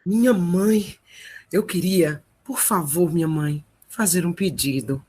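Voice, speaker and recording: A man playing a humble, devoted hero son in a radio drama, speaking hesitantly, softly and pleadingly. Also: high-pitched, takes it slowly, breathy edge, heavily acted. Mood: timid pleading.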